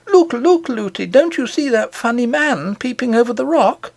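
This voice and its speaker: An excited little princess, chattering away in a high, breathless voice and firing off eager questions, as a man puts her on. Her voice leaps up and down with lively swings of pitch. It is a storybook reading in a dry, close sound. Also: a little slow, creaky, no room sound, full character voice.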